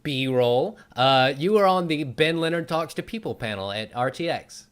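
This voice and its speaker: A man's voice: on the high side, expressive, moderate pace, clean tone. A man interviewing a guest in a casual, conversational style as the host of a talk show. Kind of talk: casual chat.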